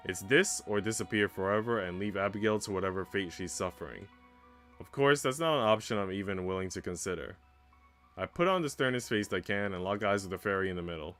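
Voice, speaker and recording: A man's voice: fairly low pitch, brisk, fairly bright tone, faint music behind. A man streaming a visual novel, reading its narration aloud and adding casual joking asides.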